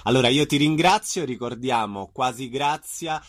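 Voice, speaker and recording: A man hosting a conversational arts interview, putting measured, informal questions to a guest. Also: mid-range voice, fairly bright tone. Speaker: radio interviewer and host.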